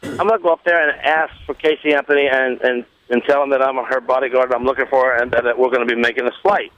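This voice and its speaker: A man's voice: medium pitch, fairly even intonation, quick pace, muffled recording. A man telling a casual comic anecdote on talk radio, rambling along and acting out the dialogue as he goes. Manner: rambling storyteller, acts out quoted dialogue. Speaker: show guest telling a story.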